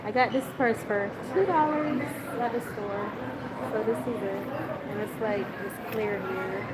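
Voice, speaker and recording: A woman's voice: mid-range voice, measured pace, over a noisy crowd, poor audio. A young woman with a stuffy nose from a cold, chatting casually to her fans on a live stream, with lots of fillers, holding the phone at arm's length. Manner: chatty, relaxed, with frequent fillers and laughs. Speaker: vlogger livestreaming to fans. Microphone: phone held at arm's length.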